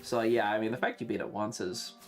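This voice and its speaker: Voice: mid-range voice, steady tone, fairly quick, soft background music. A streamer chatting casually and swearing freely while playing a game on a livestream.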